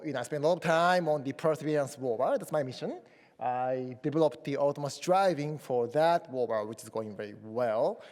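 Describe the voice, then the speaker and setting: A man's voice: on the high side, a little mellow, big-room sound. A man in his forties with a Japanese accent, moderating a science panel with playful, jokey asides. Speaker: panel moderator and robotics engineer. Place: conference hall.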